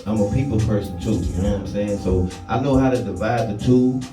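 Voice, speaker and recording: Low-voiced young man rapper, roomy distant mic. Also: brisk. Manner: loose, repeats "you know what I'm saying". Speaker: rapper guest. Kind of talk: casual interview chat. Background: music playing.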